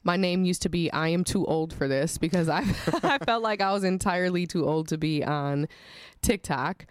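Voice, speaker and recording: A woman's voice: on the low side, moderate pace, clear, resonant tone, dry recording. An American woman in her thirties from Milwaukee, talking casually and candidly with slang as a radio guest. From Milwaukee, United States. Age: 30s. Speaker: radio show guest, social media creator. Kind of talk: casual interview chat.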